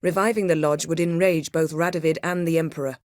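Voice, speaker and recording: A woman voicing a proud, sharp-tongued sorceress in a video game, speaking in formal, crisp, imperious phrases with composure and wry wit. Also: sits low, steady tone, a little fast, no room sound, clean studio sound, lightly acted. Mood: measured, businesslike. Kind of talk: video game character dialogue.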